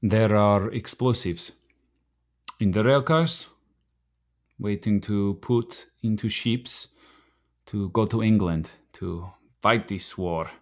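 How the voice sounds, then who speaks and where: An actor playing a fiery, wounded Slovak immigrant night watchman in a radio play, with a Slovak accent and halting, broken phrases. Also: lowish voice. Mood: brooding.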